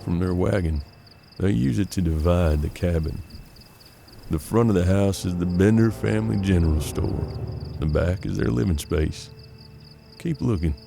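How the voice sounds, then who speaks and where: Man playing a deep, gravelly old-west bounty hunter. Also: dark tone, faint music behind. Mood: grave, watchful. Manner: measured, world-weary, folksy. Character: seasoned, gruff frontier detective and bounty hunter, mentor. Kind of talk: western audio drama acting.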